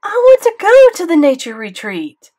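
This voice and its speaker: A woman's voice: extremely high pitch, huge pitch swings, unhurried, pitched above the performer's own voice, full character voice. A woman doing an upset young boy. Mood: pleading.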